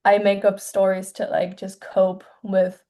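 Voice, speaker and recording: Soft-spoken young woman from China. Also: medium pitch, completely flat delivery. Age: 20s. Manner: soft-spoken, hesitant, many pauses and restarts. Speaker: filmmaker guest. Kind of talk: casual interview.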